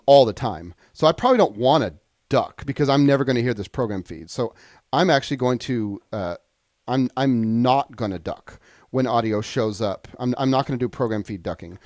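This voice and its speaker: Male software instructor, medium pitch, unscripted and explanatory, halting delivery, very dry close sound. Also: no room sound. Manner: halting, thinking aloud, explanatory. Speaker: software trainer. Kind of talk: unscripted screen-share tutorial.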